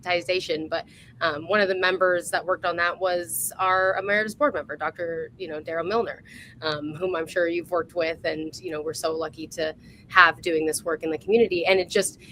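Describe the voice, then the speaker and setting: Low-voiced woman interviewer, noisy recording. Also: fairly even intonation, fairly quick, fairly bright tone. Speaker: host. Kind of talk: casual interview.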